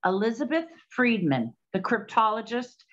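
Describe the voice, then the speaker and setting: A slightly muffled recording of a brisk 1920s lady detective played by a woman. Her voice sits on the high side, businesslike and peppered with period slang. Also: big pitch swings. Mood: urgent, reproving. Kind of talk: 1920s period audio drama acting.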